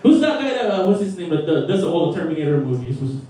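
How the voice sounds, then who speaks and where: A man's voice: fairly high pitch, very animated, fast talker, crowd noise. A young man with a Filipino accent doing a profane stand-up set, laughing at his own jokes. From Philippines. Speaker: stand-up comedian. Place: small record store stage. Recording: roomy, distant live recording.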